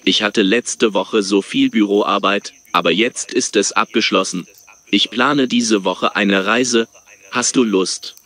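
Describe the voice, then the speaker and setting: A man reading his part in a scripted dialogue with measured, careful delivery. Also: fairly low pitch, bright, forceful tone.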